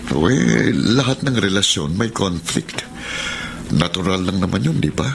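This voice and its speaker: Earnest low-voiced young man. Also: lively intonation, unhurried, gravelly, full character voice. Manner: hesitant, sincere. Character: earnest, worried young working-class boyfriend. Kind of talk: radio drama acting. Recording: very noisy radio drama recording.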